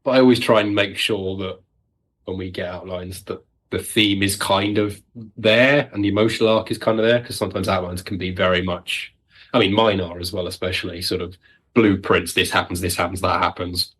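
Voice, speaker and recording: A man talks casually as a guest writer in an interview. His voice sits fairly low and has a husky, slightly rough texture. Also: steady tone, brisk, a bit bright. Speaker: audio drama writer, podcast guest. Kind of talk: casual discussion.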